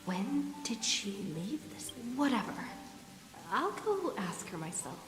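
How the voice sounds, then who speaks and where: A woman's voice: fairly high pitch, big pitch swings, slow. A woman playing a curious, plucky young traveler who muses aloud in a video game. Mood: puzzled. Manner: musing aloud to herself. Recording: echoey hall reverb, music behind.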